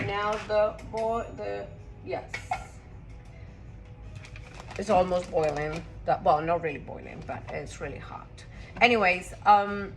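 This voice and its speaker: A woman's voice: medium pitch, fairly bright tone, slight background noise, poor audio. A woman from Costa Rica chatting casually while she cooks in her home kitchen, rambling and blunt with her asides. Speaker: home cook vlogger. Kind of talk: casual cooking vlog.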